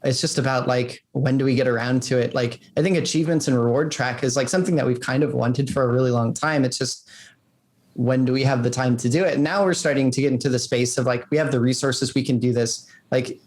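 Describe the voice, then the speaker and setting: A man answering interview questions as a game developer, casual and rambling, often saying "like". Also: mid-range voice. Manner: rambling, peppered with "like".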